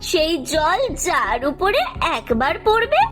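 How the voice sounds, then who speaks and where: Woman as a caring cartoon parrot, very high voice, animated pitch, coaxing, drawn-out phrases. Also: heavily acted. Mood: knowing, coaxing. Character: caring, knowing parrot guide, the moon's friend. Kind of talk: cartoon voice acting. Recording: music under the voice.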